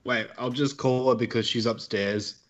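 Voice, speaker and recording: An Australian man talks in a relaxed, easygoing way as a guest on a casual podcast. His voice sits in a middle range. The audio is a little muffled and lo-fi. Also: medium pitch, normal speed. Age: adult, married.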